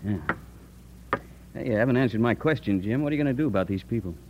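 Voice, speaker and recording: A man's voice: mid-range voice, animated, fast, highs cut off, a little hiss or hum, natural voice. An American man acting the part of a well-dressed Easterner back out West in an old-time radio Western drama. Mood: curious, concerned. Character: Will Coleman, well-dressed Easterner returned to the gold-rush West. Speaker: lead actor and narrator.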